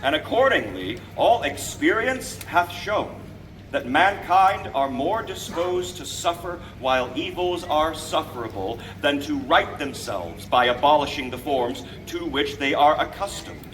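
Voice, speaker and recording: Stately colonial statesman played by a male reenactor, high forceful voice, formal theatrical proclamation, recorded outdoors from a distance. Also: expressive, a little hiss or hum. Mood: grave. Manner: declamatory, deliberate phrasing, formal. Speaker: historical reenactor. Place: outdoors, speaking from a balcony to a gathering. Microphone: distant camera microphone.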